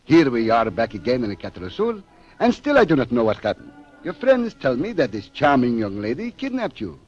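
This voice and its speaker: An actor playing a courteous, formal police lieutenant in an old-time radio drama, with a husky voice. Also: male voice, mid-range voice, huge pitch swings, darkish tone, soft background music. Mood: polite, curious. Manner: formal, courtly, measured phrasing. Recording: dull old radio recording.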